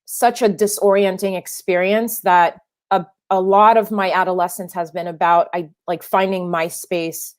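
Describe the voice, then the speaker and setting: A woman's voice: fairly low pitch, steady tone, normal speed. Woman, French native speaker, young adult, filmmaker guest, reflective, frequent restarts. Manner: thoughtful, many restarts and fillers. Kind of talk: interview answers.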